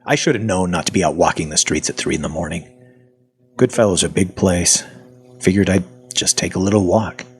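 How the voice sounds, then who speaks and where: A man playing a sardonic, suspicious captive in a zombie audio drama, talking in a colloquial, wry way with a husky voice. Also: low voice, fairly quick, faint music behind, lightly acted. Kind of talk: first-person audio fiction narration and acting.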